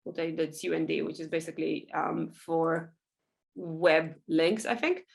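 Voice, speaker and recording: A woman, a Hebrew speaker, giving a loose, chatty software workshop full of ums and small laughs. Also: low-pitched, steady tone, moderate pace, creaky. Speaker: workshop presenter. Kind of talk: casual software tutorial over screen share.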